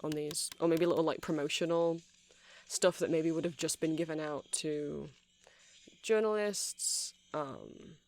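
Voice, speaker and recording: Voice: medium pitch, a little slow, gravelly, a little mellow, a little hiss or hum. A performer playing a nerdy, earnest vampire who sells music in a shop, in a hesitant, rambling ASMR roleplay full of self-corrections. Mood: enthusiastic, nerdy. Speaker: shop seller.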